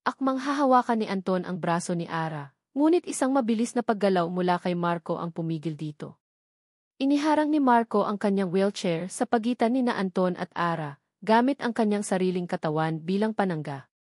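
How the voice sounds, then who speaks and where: Woman narrator, scripted love-story drama, steady delivery, mid-range voice, dry close recording. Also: medium pitch, fairly quick, no echo.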